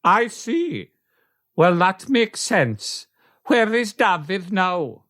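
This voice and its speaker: A woman's voice: medium pitch, big pitch swings, fairly bright tone, full character voice. A woman playing a grave, patient mystical animal healer in a comic radio play. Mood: solemn, measured. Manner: grave, deliberate, soothing.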